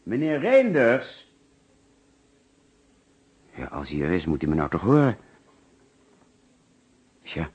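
A man's voice: low-pitched, darkish tone, husky, no room sound, exaggerated voice. An actor with a Netherlands Dutch accent playing an anxious, hesitant businessman who narrates and mutters to himself in a radio play. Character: anxious middle-class businessman recounting finding a murdered man. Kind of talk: radio play acting with first-person narration. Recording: muffled, noisy old radio play recording.

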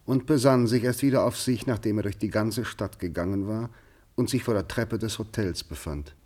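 Deep, husky male narrator. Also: normal speed, darkish tone. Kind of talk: literary scripted narration in a radio play.

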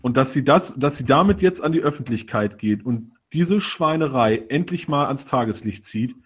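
A husky-voiced man takes part in a loose satirical group talk. He sounds mock-earnest and sarcastic, and the audio is a little dull and muffled. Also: mid-range voice, animated, moderate pace. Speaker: panelist on a live talk show. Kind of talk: casual satirical group discussion.

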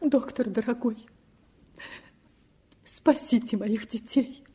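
An actress playing a fretful, doting merchant's wife, plaintive and fussy, in a Soviet radio drama. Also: female voice, on the high side, slow, dark tone. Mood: tearful, pleading. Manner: plaintive, fussy, cooing diminutives.

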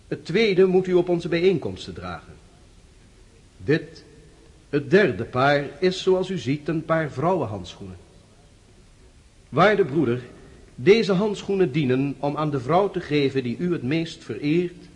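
An actor in a radio drama playing a solemn, commanding master of a secret order, ceremonial and measured. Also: male voice, a little high.

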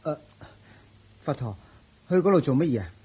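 An actor playing a timid, confused young master of a wealthy family in a Cantonese radio drama, speaking in a pleading way. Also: male voice, fairly high pitch, lively intonation, moderate pace, warm tone, slightly rough, rough recording.

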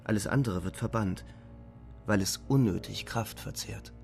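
A weary young soldier reflects on war in a radio play monologue. His voice is low with a gravelly creak, and his delivery is grave, deliberate and despairing. Music runs softly beneath. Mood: weary, reflective. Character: twenty-year-old German soldier, weary, despairing, reflective. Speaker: narrator.

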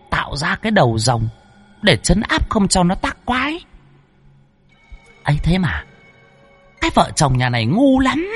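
A man voicing a spirit medium possessed by a fierce aunt spirit, berating the family in a shrill, scolding way. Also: fairly bright tone, breathy edge, full character voice.

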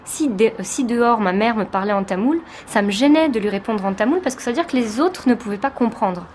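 A woman's voice: a little high, animated, a little fast, a little mellow, slight background noise. A woman from France, a teacher, giving a reflective personal testimony in an interview, speaking thoughtfully with many hesitations.

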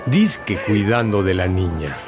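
A man's voice: low-pitched, expressive, measured pace, over music. A man narrating a radio drama as a storyteller, with dramatic emphasis.

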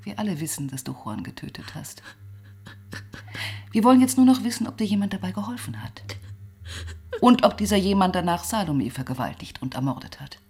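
An actress playing a weary, relentless police detective who also narrates in a radio crime drama, intense and often dropping to a whisper. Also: female voice, mid-range voice, very animated, fairly quick, pauses often, a little mellow, breathy edge, full character voice. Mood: pressing, accusing.

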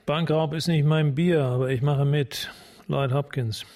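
Higher-voiced, cool detective. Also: male voice, fairly even intonation, measured pace, a little mellow. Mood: cool, analytical. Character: cool, sharp-minded maverick male police detective. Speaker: actor in a German radio drama. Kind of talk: radio drama dialogue.

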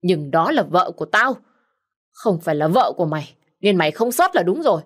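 A woman voicing a defiant young husband who shouts back in anger. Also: fairly low pitch, very animated, brisk. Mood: furious.

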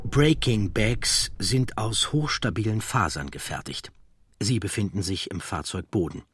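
A man reading scripted documentary narration in a measured, factual manner. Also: lowish voice, a bit bright, breathy edge, dry recording, studio quality.

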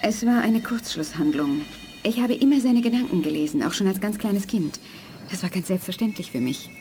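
Woman acting a grave girl mutant, radio play, measured earnest phrasing, medium pitch, clear music bed. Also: animated, mellow, close to an everyday voice. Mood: haunted. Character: grave eleven-year-old girl telepath, gentle and secretive.

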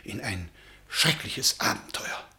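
An actor in a German radio play playing a naive, earnest professor, hesitant, pedantic and easily flustered. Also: male voice, slow, sharp tone. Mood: hurt, dismayed.

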